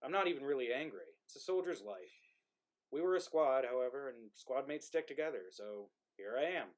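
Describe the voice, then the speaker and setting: A man's voice: medium pitch, animated, brisk, close to an everyday voice. A man playing a dead soldier's ghost in a play reading, wry and resentful, with a conversational, needling delivery. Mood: ominous. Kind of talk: play reading, modern drama.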